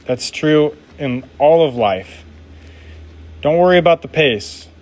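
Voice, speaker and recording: A man's voice: fairly high pitch, expressive, takes it slowly, a little mellow. A man making knives during a live stream, chatting casually and reading viewer comments aloud in an upbeat, laid-back way.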